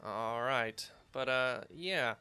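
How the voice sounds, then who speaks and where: A slightly hoarse man hosting a video game playthrough, chatting casually with lots of fillers while standing at his home setup. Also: medium pitch, slow, sharp tone. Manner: rambling, filler-heavy, upbeat. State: slightly hoarse after surgery. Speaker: let's play host. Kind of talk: casual gameplay commentary.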